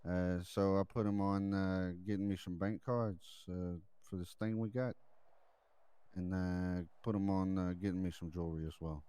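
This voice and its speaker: A man playing a deferential mobster reporting to his boss in improvised crime role-play, halting and repeating words as he goes. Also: low-pitched, fairly even intonation, dark tone, smooth, no echo, close to an everyday voice. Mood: defensive, loyal. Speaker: role-play gamer playing a mob underling.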